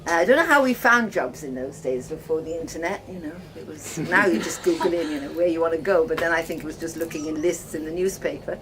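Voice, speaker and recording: Low-voiced older woman telling her story. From Wales. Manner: reminiscing, conversational, halting with pauses. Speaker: host of guest lodging, farmer's wife. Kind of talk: casual personal storytelling to guests. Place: informal talk to visitors, filmed by a guest. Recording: noisy ambient home video.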